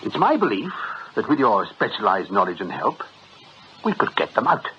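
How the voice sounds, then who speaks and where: Jovial British crook, wildly animated. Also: male voice, lowish voice, moderate pace. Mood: smooth, amused. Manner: breezy, wheedling, chuckling, mock-polite. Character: jovial, slippery male agency boss plotting crime. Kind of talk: British radio comedy-drama acting. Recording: muffled radio drama recording, faint hiss.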